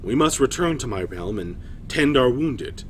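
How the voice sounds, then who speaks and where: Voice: mid-range voice, lively intonation, normal speed, slight background noise, close to an everyday voice. A man playing a noble warrior king in a fantasy story, speaking formally with gracious command. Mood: grateful, formal. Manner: formal, declarative.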